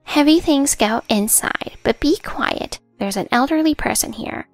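A woman's voice: animated, a little slow, no room sound, soft background music. A woman voicing a pushy, entitled middle-aged woman in a storybook narration, speaking in a bossy, smug manner. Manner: bossy, smug, later shrill and pleading.

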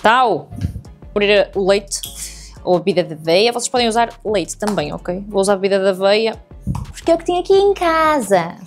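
A woman's voice: medium pitch, animated, moderate pace, faint music behind. A young woman with a European Portuguese accent, chatting casually to viewers as she cooks in her home kitchen. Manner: chatty, addresses viewers directly while cooking. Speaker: cooking vlogger. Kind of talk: casual cooking tutorial.

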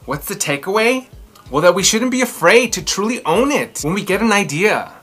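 A man in his thirties presents a children's storytime with theatrical emphasis, stretching key words. His pitch swings widely and his tone is bright, with some music behind him. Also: animated, normal speed, husky. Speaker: children's book read-aloud host. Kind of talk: expressive storytelling and chatty commentary for children. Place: small furnished room indoors.

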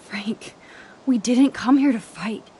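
An actress playing an earnest, conciliatory young woman in a video game, speaking in a gentle, pleading, diplomatic manner. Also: fairly high pitch, slow, a little hiss or hum. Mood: calm, placating. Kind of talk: dramatic video game voice acting.

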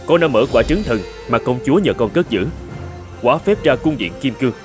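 Man playing a young prince with lively intonation. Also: mid-range voice, lightly acted. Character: gallant young fairy-tale prince. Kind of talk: dubbed cartoon fairy-tale acting.

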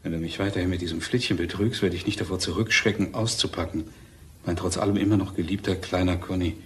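An actor playing an anxious, defensive widower in a crime radio drama, with an irritable manner. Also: male voice, deep voice, fairly even intonation, fast, roomy sound, slight background noise. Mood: puzzled. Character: defensive, anxious unfaithful widower fearing police suspicion.

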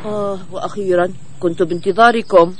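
A put-upon cartoon bird played by a man with a high voice. He speaks earnestly and expressively, with faint noise in the track. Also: steady tone, fast talker, lightly acted. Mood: confident, calm. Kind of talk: dubbed children's cartoon acting.

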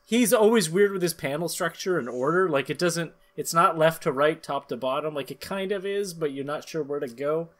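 A man's voice: fairly high pitch, moderate pace, few pauses. A middle-aged man co-hosting a podcast, moving between casual chat and dramatic reading. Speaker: podcast co-host. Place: indoor room, video call.

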